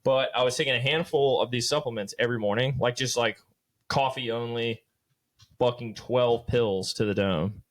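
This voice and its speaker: A man's voice: mid-range voice, steady tone, normal speed. A man in his late twenties, co-hosting a podcast, telling rambling stories in loose, profane casual chat. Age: late 20s. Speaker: podcast co-host.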